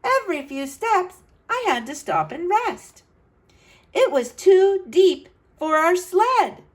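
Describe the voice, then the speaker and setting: A woman's voice: very high-pitched, big pitch swings, slow, voice pushed up, exaggerated voice. A woman reading a storybook as an earnest, adventurous young boy who tells his own tale dramatically. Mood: wonder, effort.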